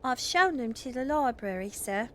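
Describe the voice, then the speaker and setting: A woman's voice: a little high, lively intonation, moderate pace, a little hiss or hum, pitched above the performer's own voice, lightly acted. A woman voicing an anxious, gossipy, deferential young parlour maid in a storybook reading.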